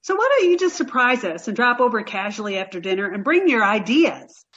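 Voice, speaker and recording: High animated voice, woman acting adoring ditzy girlfriend, naive earnest manner, 1940s radio comedy, slightly dull sound. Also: brisk. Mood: eager. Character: sweet, scatterbrained, adoring young girlfriend.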